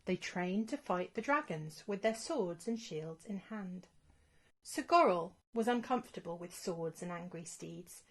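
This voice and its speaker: A woman reading a rhyming children's storybook as scripted narration, in an expressive storytelling manner. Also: on the low side, measured pace.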